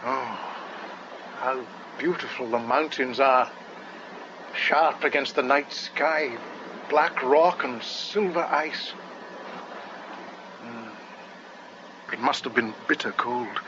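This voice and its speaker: A man's voice: mid-range voice, slow pace, long pauses, close to an everyday voice. Actor as melancholy, formal man, muffled recording. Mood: rueful. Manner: formal, reflective, wordy. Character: melancholy, kindly, self-deprecating male passenger. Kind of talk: radio drama acting. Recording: dull radio recording, noisy ambience.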